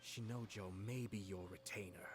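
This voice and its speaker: An actor voicing a composed, courtly young lord in a video game, speaking in a formal, measured, silkily polite and quietly cutting way. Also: male voice, fairly low pitch, fairly even intonation, slight background noise, natural voice. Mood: coolly probing. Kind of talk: scripted video game dialogue.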